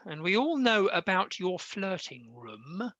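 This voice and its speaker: Man playing a secretive, formal gentleman, lively pitch. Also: a little slow, no room sound, highs cut off, full character voice. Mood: indignant. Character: Arthur Sullivan, secretive, torn gentleman composer and lover. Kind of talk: radio play acting.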